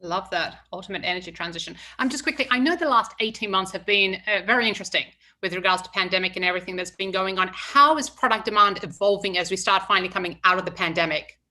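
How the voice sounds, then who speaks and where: Upbeat lady interviewer, quick and bright. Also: medium pitch. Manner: upbeat, affirming. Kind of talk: investor interview.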